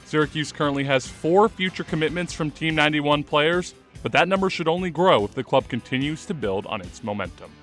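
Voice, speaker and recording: Higher-pitched male sports radio reporter. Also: lively intonation, a little fast, hardly any pauses, fairly bright tone, faint music behind.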